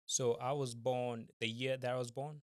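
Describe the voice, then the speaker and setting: Polite young man from Kenya, flat delivery. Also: medium pitch, clean tone, no echo. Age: 20s. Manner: polite and formal, measured. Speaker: podcast guest. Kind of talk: casual interview.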